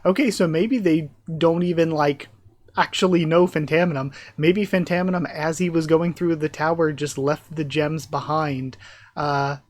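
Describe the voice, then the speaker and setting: Guy doing a casual reaction video, slightly high voice, kinda thinking out loud and guessing as he goes. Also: fairly even intonation, normal speed, dry recording. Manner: thinks aloud, speculative, chuckling asides. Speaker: YouTube reaction host. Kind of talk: live reaction, reading comic dialogue aloud with casual commentary.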